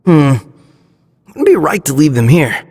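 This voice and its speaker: An actor playing an earnest, resolute young male squad leader in a video game cutscene, with a husky voice. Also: a little high, wildly swooping pitch, a little slow, darkish tone, long reverb tail, exaggerated voice.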